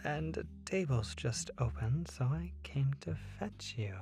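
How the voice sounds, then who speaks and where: A performer voicing a polite, flustered restaurant host in an audio roleplay, halting and apologetic. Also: medium pitch, animated, vocal fry, a little mellow, soft background music, pitched above the performer's own voice. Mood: confused, apologetic. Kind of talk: audio roleplay addressed to the listener.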